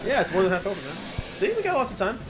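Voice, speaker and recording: A man's voice: high voice, sing-song, quick pace, noisy background. A man hosting a video game let's play, giving casual, joking commentary in a rambling, hesitant way with mock-earnest enthusiasm. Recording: game music behind the voice.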